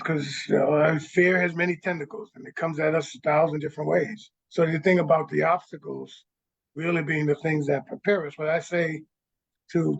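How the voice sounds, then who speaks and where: A man with a fairly high, gravelly voice speaks in a reflective, halting way and often restarts his sentences. His sound comes over a dull, lo-fi line. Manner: thoughtful, halting, many restarts. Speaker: radio show guest. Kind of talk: reflective conversation.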